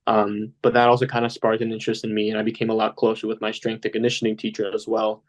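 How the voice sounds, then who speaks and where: A man's voice: lowish voice, completely flat delivery, fast talker. A young man who grew up speaking Polish at home, talking casually as a podcast guest and rambling with many fillers. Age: young adult. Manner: rambling, lots of fillers like "you know". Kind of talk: casual interview talk.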